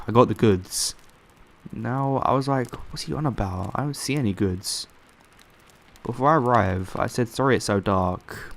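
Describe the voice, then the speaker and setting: Low-voiced man narrating a true story. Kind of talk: first-person reading of a listener's true story.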